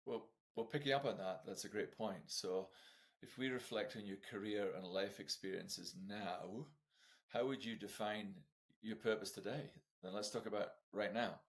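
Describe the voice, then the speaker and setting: A man hosts a podcast and puts measured, polite questions to his guest. His voice is very low and gravelly. He speaks in a steady interviewing manner. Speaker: podcast host.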